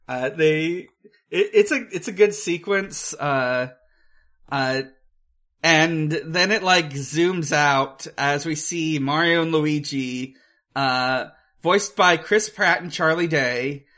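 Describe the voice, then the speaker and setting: A podcast co-host recapping a film casually, in a hesitant, halting way with searching pauses. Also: medium pitch, pushed and bright.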